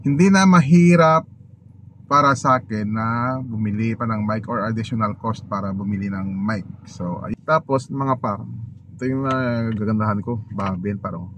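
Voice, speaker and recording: Filipino man vlogging casually over clear noise. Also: lowish voice. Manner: informal and halting, searching for words. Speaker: motovlogger host. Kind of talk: casual unboxing chat. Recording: clearly noisy, vehicle and ambient noise.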